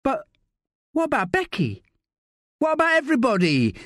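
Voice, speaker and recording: A man's voice: extremely high pitch, wildly swooping pitch, normal speed, fairly bright tone, no echo, clean studio sound, pitched above the performer's own voice, heavily acted. A man voicing a frightened, pleading young woman. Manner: tearful, desperate pleading.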